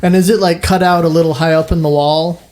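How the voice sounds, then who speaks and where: A man playing a tabletop game, slow and deliberate when describing his moves. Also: a little high, steady tone, a little mellow. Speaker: player. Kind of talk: casual tabletop play.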